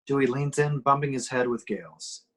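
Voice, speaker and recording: A man's voice: medium pitch, normal speed, fairly bright tone. Male narrator, mid-range voice, reads stage directions for an amateur table read. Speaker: reader of action descriptions. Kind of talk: scripted screenplay narration at a table reading.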